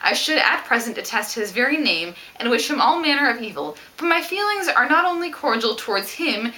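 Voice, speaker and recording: A woman reads the part of a self-assured young gentlewoman from a Regency novel. Her voice is bright, with lively swings in pitch. The phrasing is formal, witty and expressive. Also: fairly quick, low-quality recording. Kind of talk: reading novel quotations aloud.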